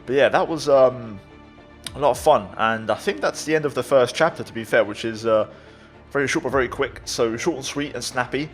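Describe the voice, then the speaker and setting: A man hosts a gaming video with casual commentary. His voice is mid-pitched. Soft music plays faintly underneath. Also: brisk. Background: faint game music.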